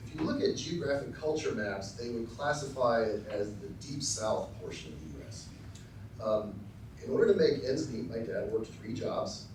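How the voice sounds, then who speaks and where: A middle-aged man from Texas giving a formal acceptance speech with dry, self-deprecating humor, often pausing with ums and uhs. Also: on the low side, animated, darkish tone, a little hiss or hum. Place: large lecture hall. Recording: very echoey hall, voice from far off.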